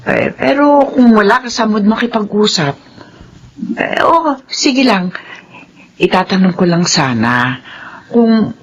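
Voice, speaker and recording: An actress playing a gentle, patient middle-aged housemaid and mother in a Filipino radio drama, conciliatory and hesitant, with a Filipino accent. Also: mid-range voice, sing-song, slow, pauses often, breathy edge, muffled recording, full character voice. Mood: friendly, conciliatory. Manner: soft-spoken, conciliatory, hesitant.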